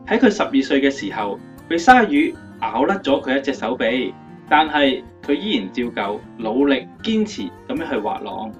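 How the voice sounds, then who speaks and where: A man's voice: medium pitch, recorded from a distance, close to an everyday voice. Radio drama: male actor as upbeat, playful young lover and speaker, dull recording over guitar. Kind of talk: scripted radio drama interview. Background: guitar music.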